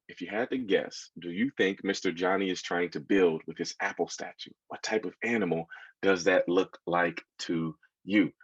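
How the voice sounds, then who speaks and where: An American man in his thirties reading a children's book aloud, expressively, pausing to put questions to his young listeners. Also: sits low, breathy edge, dry recording, lo-fi sound. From United States. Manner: expressive, engaging listeners with asides. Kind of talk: animated storytelling to children, with questions.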